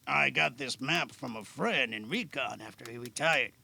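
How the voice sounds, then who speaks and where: Man playing a gravelly, gruff older healer. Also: medium pitch, moderate pace, pushed and bright, heavily acted. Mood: guarded, relaxed. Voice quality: gravelly, creaky. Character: gruff older male healer and storyteller, guarded but kindly. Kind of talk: amateur audio drama acting. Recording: faint background noise.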